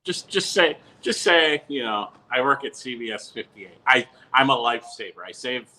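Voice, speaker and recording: A man's voice: mid-range voice, animated, normal speed, pushed and bright, rough recording. A man chatting casually as a podcast guest, bantering and repeating himself with mock boastfulness. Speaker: podcast guest who works at a TV station. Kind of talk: casual, bantering sports chat.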